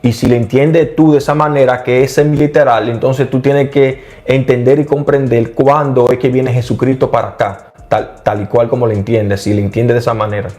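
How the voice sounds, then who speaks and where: A middle-aged man co-hosting a casual Bible discussion, explaining earnestly with frequent restarts and quick agreement. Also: medium pitch, fairly even intonation, a little mellow. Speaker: co-host of a Bible study channel.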